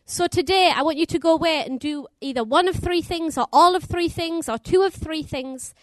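A young woman preaches in a chatty, upbeat way and laughs often. She speaks English with a put-on American twang. Her voice is high, and the sound is close and dry. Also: moderate pace, dry recording. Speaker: missionary preacher. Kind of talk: sermon. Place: church.

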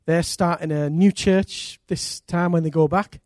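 A man's voice: a little high, steady tone, measured pace, no echo. Enthusiastic middle-aged male pastor, dry sound. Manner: warm enthusiastic introducer, cheering. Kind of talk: church introduction and prayer. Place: church.

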